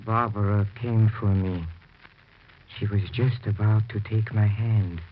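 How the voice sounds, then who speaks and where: An actor playing a mournful, weary man fixated on his dead beloved, in subdued, halting 1940s radio acting. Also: fairly low pitch, fairly even intonation, close to an everyday voice. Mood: bitter grief. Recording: muffled, very noisy 1940s radio recording.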